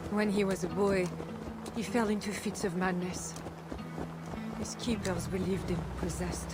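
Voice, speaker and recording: A woman playing a devout, enigmatic medieval Frankish queen in a video game cutscene, speaking in a composed, solemn and measured way. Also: fairly low pitch, natural voice. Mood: measured, confiding.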